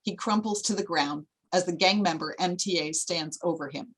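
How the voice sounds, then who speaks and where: A woman's voice: lowish voice, normal speed, a bit bright, slightly rough, poor audio. A woman reading screenplay stage directions as the narrator of a table read.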